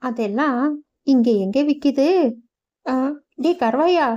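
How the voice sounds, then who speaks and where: A woman voicing a loud, bossy village mother in a cartoon, scolding her son. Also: sits high, expressive, takes it slowly, highs cut off. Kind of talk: cartoon voice acting.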